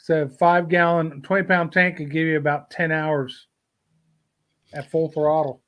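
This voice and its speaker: A man's voice: on the high side, little pitch movement, measured pace, a bit bright. A man chatting casually as a livestream co-host and relaying comments from viewers.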